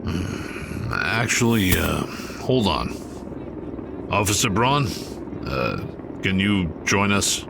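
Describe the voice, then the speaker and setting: A male homicide detective in charge, in an audio drama. His voice is low and unhurried, probing with quiet authority, over clear scene ambience. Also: sing-song, background noise, heavily acted. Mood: pondering, authoritative. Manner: hesitant pondering, authoritative.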